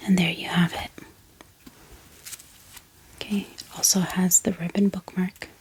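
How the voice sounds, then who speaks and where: A woman speaking in a breathy whisper, gently and unhurriedly, in a casual ASMR show-and-tell style. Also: fairly low pitch, little pitch movement, slight background noise. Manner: gentle, unhurried, hesitant pauses. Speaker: ASMR book haul vlogger. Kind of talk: soft-spoken whispered ASMR, casual and unscripted.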